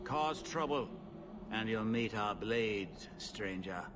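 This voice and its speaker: A man's voice: lowish voice, lively intonation, slow pace, noisy background. A man voicing a suspicious, threatening elven camp guard in a fantasy game, full of disdain. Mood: disdainful.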